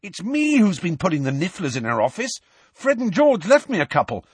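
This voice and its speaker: A sheepish teenage prankster voiced by a grown man from a storybook. He sounds startled and confessional, his voice raised high and moving freely. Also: wildly swooping pitch, fairly quick, husky, dry recording, full character voice.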